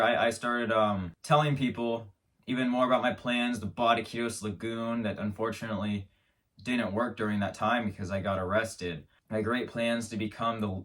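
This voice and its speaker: Man host with a lowish voice, stammering through a deadpan tall tale, mic set back from him. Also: distant mic. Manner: rambling, stammering restarts, mock-serious. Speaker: show host. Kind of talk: absurd comedic monologue.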